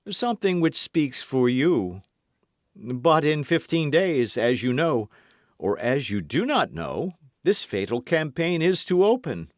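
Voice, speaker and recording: Guy doing a puffed-up swaggering musketeer, pitched up high, slow and showy, dry sound. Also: no echo. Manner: grand, wheedling, mock-melancholy. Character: boastful scheming musketeer, grown man. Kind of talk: audiobook character reading.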